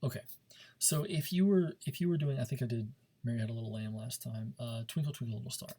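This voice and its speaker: A man's voice: mid-range voice, quick pace, dark tone, a little hiss or hum. A man teaching a speech class in an informal recorded lesson, talking directly to his students and stressing and repeating key points. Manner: emphatic, demonstrative, stresses and repeats key words.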